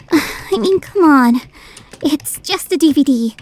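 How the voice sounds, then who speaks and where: A woman playing a proud, excitable, brash schoolgirl in an anime radio play. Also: high voice, slow. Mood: haughty, exasperated.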